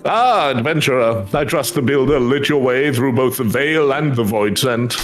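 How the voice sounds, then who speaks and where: A man's voice: medium pitch, expressive, moderate pace, natural voice. A man voicing a courtly, earnest fantasy craftsman, devout and grateful, speaking quest dialogue in formal, flowery phrasing. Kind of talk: voiced reading of fantasy game quest dialogue. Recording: music behind the voice.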